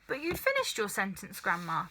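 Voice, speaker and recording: A woman's voice: medium pitch, huge pitch swings, moderate pace, sharp tone, heavily acted, rough recording. A woman voicing an eager, polite, slightly cheeky young boy in a children's storybook. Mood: polite, questioning.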